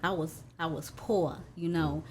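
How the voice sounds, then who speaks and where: Chatty woman, slightly low voice. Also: fairly even intonation, measured pace, a little mellow, slight background noise. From Chicago. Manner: chatty, with many fillers and "you know what I'm saying". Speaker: guest rapper and singer. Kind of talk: casual interview chat.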